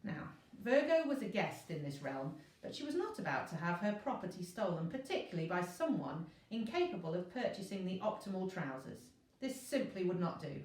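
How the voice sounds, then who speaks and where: A woman, a teacher, reading a children's novel aloud in an expressive storytelling style. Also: lowish voice, moderate pace. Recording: roomy echo, distant microphone.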